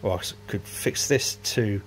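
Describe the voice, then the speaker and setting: Chatty man, low voice. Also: measured pace, slight background noise, poor audio. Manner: chatty, self-mocking, rambling with restarts. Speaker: home brewer vlogger. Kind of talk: casual rambling vlog. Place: cluttered home brewery workshop, indoors.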